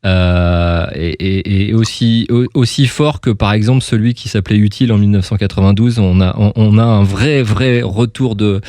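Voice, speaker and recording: A man's voice: lowish voice, moderate pace, hardly any pauses, dark tone, no echo. Chatty male radio host, dry close sound. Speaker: radio host interviewing a guest. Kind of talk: informal radio interview.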